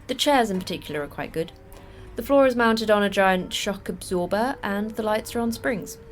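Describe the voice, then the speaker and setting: An actress playing a terse woman station manager in a sci-fi audio drama, brusque and dryly sarcastic in a deadpan way. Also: mid-range voice, normal speed, a little hiss or hum, lightly acted. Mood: brisk, businesslike. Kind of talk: audio fiction podcast acting.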